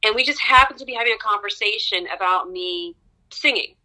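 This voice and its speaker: A young woman opera singer chats with an interviewer, her voice bright and sharp-edged. She tells stories with animated energy, laughing often and jumping upward in pitch at the exciting parts. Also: fairly quick, rough recording. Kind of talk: conversational interview storytelling.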